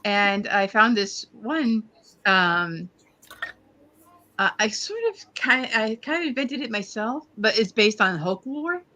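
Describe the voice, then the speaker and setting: A woman's voice: medium pitch, lively intonation, slow, sharp tone, slight background noise. A woman playing a tabletop roleplay game, casually explaining her ideas in a hesitant, tentative way. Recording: dull lo-fi voice audio.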